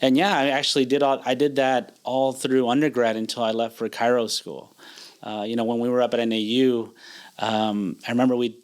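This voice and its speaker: A man in his forties, a chiropractor guest in a podcast interview, talking in a relaxed, reflective way with many fillers and restarts. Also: medium pitch, a little fast. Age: 40s. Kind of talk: casual interview conversation.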